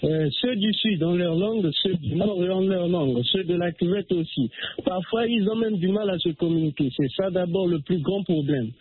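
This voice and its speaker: Husky-voiced man on a tinny phone line. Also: high voice, moderate pace. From Republic of the Congo. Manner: hesitant, many fillers and restarts. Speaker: radio caller. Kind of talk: phone-in call on a radio show. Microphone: telephone.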